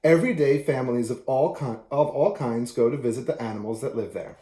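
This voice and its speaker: A man reading a children's picture book aloud as an expressive storyteller, in an echoey room. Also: mid-range voice, fairly quick.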